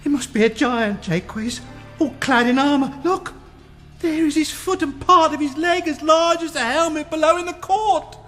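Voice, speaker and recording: An actor playing a terrified, superstitious castle guard, excitable and awestruck in a gothic radio drama. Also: male voice, very high-pitched, moderate pace, faint music behind. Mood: awestruck fright.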